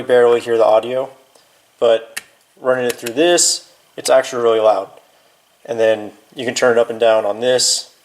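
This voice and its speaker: A man giving a casual, hands-on how-to demonstration in an informal, offhand way, as if thinking aloud while he works. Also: mid-range voice. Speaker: DIY video presenter. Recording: clear background noise, clicks of handling.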